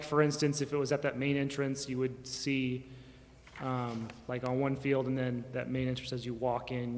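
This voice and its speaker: A man speaking as a city staff member, explaining things informally at a public meeting in a rambling, casual way that often trails off. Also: medium pitch. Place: reverberant council chamber. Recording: hall reverb, faint background noise.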